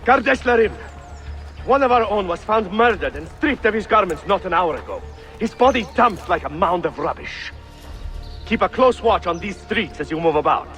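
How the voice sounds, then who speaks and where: Commanding ottoman captain, high raised pitch, declaiming in a game. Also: lively intonation, normal speed, a bit bright, soft background music. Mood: indignant, commanding. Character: stern, indignant Ottoman soldier captain rallying his men. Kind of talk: video game cutscene acting.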